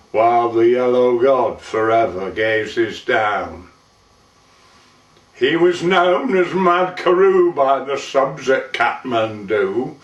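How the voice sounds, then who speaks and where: A man performing a dramatic narrative poem as an old-fashioned monologue, with measured, deliberate, theatrical phrasing. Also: on the high side, a bit bright, highs cut off. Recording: roomy, echoing, distant microphone.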